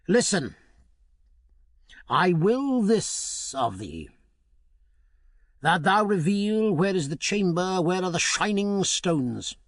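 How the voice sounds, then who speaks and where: A man's voice: sits high, sing-song, takes it slowly, long pauses, no echo, studio quality, pitched above the performer's own voice, full character voice. A man voicing a stern, proud king who speaks in a solemn, archaic, commanding way.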